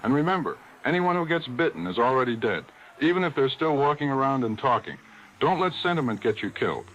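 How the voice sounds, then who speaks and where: A man's voice: mid-range voice, very animated, a little fast, fairly bright tone. A man playing a hard-bitten broadcaster urgently warning apocalypse survivors in a 1940s-style radio drama. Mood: grim, urgent. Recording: dull lo-fi radio sound, faint noise.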